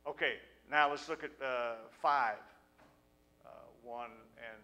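A man's voice: mid-range voice, sharp tone. A middle-aged man pastor giving an earnest, conversational sermon and reading scripture at a pulpit mic in a small church. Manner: earnest, conversational, with halting restarts. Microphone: pulpit stand mic.

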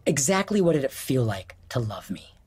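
An actor playing an exasperated, sarcastic husband in an audio drama, hurt and profane in a late-night argument. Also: male voice, mid-range voice, very animated, normal speed, a little mellow, slightly rough. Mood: quiet, probing. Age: 40s.

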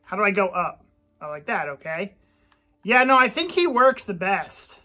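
A man's voice: high voice, very animated, normal speed, pushed and bright, exaggerated voice. A man playing a boastful, touchy young gamer who talks cocky and gets defensive when teased. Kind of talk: comedy gameplay sketch.

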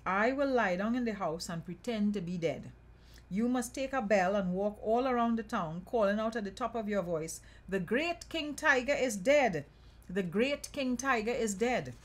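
A woman playing a greedy, scheming male tiger in a folk tale, speaking deliberately. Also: mid-range voice, natural voice.

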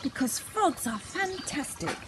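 Woman reading as an eager storybook child, lively pitch. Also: unhurried, noisy background. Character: eager, knowledgeable young child guiding Grandma round a museum.

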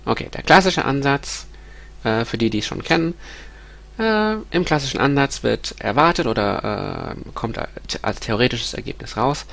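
Guy with a cold giving a recorded lecture, stuffy nose, lots of ums, gravelly voice. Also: medium pitch, expressive, moderate pace, fairly bright tone. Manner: hesitant, many ums and restarts. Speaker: university lecturer. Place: small room at home.

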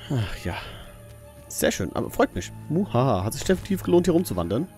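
A man's voice: mid-range voice, big pitch swings, fairly quick, a little mellow. A man hosting a let's play, giving casual, playful gaming commentary and often muttering to himself. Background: faint game music.

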